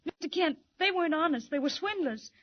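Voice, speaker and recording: A woman's voice: very high voice, animated, fairly quick. A woman playing a frightened but defiant young secretary in an old 1940s radio drama, speaking with emphatic, breathless urgency. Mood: anxious, urgent. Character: frightened, defiant young secretary in hospital, telling her story. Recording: dull muffled 1940s radio recording.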